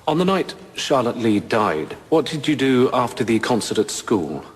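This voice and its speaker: Measured male prosecutor, medium pitch, deliberate, courtroom drama, long reverb. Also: very animated, slightly rough. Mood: probing. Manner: formal, deliberate.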